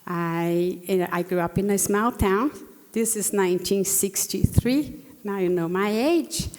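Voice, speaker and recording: Slow-talking Brazilian-accented woman in her sixties. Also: mid-range voice, a little mellow. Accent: Brazilian Portuguese. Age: 60s. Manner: earnest, with frequent fillers and restarts. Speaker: panelist speaker. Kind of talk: personal story in a talk. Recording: long hall reverb.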